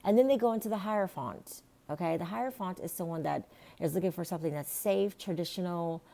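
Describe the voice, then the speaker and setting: Hoarse raspy-voiced woman reading tarot. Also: fairly low pitch, fast talker, dark tone. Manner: rambling, restarts often, many fillers like "like" and "um". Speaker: tarot reader.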